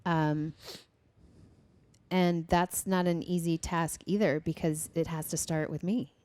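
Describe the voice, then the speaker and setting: Earnest woman guest, low and dry. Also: steady tone, slow, smooth, no room sound. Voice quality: loud voice. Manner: earnest, reflective, frequent ums and soft laughs. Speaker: podcast guest, co-founder. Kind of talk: casual interview conversation.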